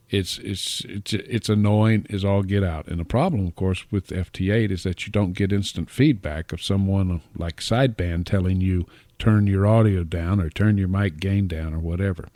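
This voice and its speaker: A middle-aged or older man giving an informal, plainspoken microphone demo in short, deliberate phrases, speaking close to a dynamic microphone. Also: deep voice, warm tone, no echo. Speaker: amateur radio hobbyist reviewing microphones. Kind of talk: informal explanatory product demo narration. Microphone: close dynamic podcast or studio microphone.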